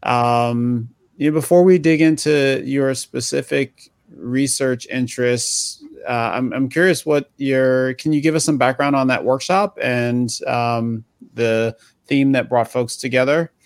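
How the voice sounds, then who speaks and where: A man hosts an interview podcast and asks his questions casually, often restarting them. His voice is mid-pitched. The sound is dry and close. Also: fairly even intonation, measured pace, dry recording. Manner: conversational, hesitant questions with restarts. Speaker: podcast host.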